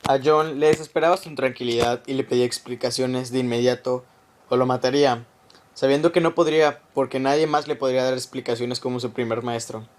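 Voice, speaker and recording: A man's voice: mid-range voice, fairly even intonation, fairly quick. A man reading scripted narration for a radio drama, telling a story in the third person.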